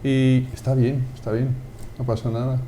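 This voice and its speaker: Dutch-accented man, mellow voice. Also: medium pitch, moderate pace, a little hiss or hum, low-quality recording. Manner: relaxed, searches for words while explaining. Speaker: guest, company CEO. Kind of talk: TV studio interview.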